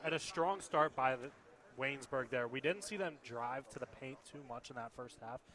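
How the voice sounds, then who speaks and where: A man giving measured, analytical color commentary on a live radio basketball broadcast. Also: medium pitch, pushed and bright. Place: indoor basketball game. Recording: crowd noise at a basketball game. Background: crowd and bouncing ball.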